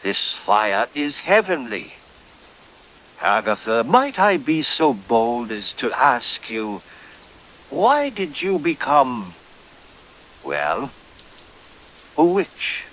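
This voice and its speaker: A man's voice: mid-range voice, big pitch swings, very slow, long pauses, fairly bright tone, full character voice, low-quality recording. A man playing a genteel, formal lord in an old-time horror-comedy radio play, with courtly, theatrical, old-fashioned diction. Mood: genial. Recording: hissy track with rain noise. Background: rain and hiss.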